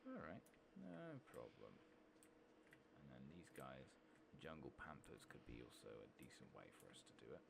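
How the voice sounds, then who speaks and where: A man with a very deep voice full of heavy fry murmurs unhurried ASMR game commentary. His pitch moves around freely even while he stays soft-spoken. There is loud noise behind him, with game ambience and clicks. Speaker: gaming ASMR video creator.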